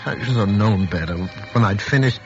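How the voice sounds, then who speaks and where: An actor playing a hot-tempered, desperate husband in a 1940s radio suspense drama, with an intense, earnest, clipped delivery. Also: male voice, on the low side, moderate pace, over music, close to an everyday voice. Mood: grave narration. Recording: dull 1940s radio recording.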